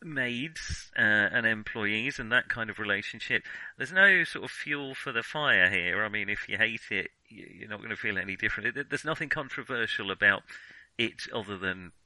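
Sharp, bright-voiced man talking films. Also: lowish voice, lively intonation, no echo, poor audio. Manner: halting, hedging, often restarts sentences mid-thought. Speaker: podcast co-host. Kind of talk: casual film discussion.

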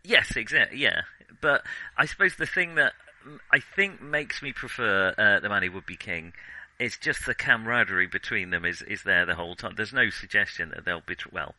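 Bright, forceful man chatting about films. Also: fairly low pitch, moderate pace, no echo, low-quality recording. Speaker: podcast co-host. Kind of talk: casual film discussion.

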